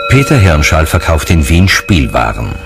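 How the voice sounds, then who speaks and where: A man's voice: extremely low pitch, wildly swooping pitch, moderate pace, heavy background noise. A man reading scripted narration for a documentary.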